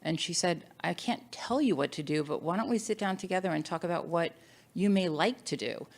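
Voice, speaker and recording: A woman's voice: lowish voice, a little fast. A middle-aged woman telling her personal story as an alumni panelist in an emphatic, storytelling way. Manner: storytelling, emphatic, quotes herself.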